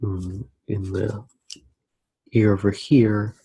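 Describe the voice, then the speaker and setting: A man speaks as an ASMR artist guiding a drawing, in a hushed, halting way with pauses inside his sentences. His voice is dark and mellow, husky, and stays very even in pitch. He sounds close to the microphone as he works. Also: no echo. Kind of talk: ASMR drawing tutorial, narrated while working.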